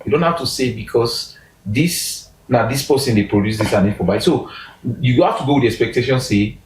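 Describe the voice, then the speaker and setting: A man talking as a guest in a casual interview, animated, pushing his voice up for emphasis and restarting sentences. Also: medium pitch, brisk, a little hiss or hum. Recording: roomy echo.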